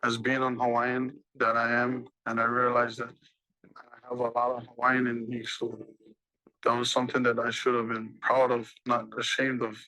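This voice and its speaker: A man's voice: mid-range voice, bright, forceful tone, low-quality recording. A young man speaks earnestly and reflectively in a documentary interview. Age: young adult.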